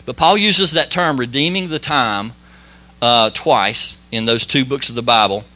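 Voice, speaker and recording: A man giving an informal church sermon and prayer, folksy and modest, talking in halting phrases with many restarts and fillers. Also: medium pitch, bright, forceful tone. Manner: modest, plain-spoken. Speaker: lay preacher.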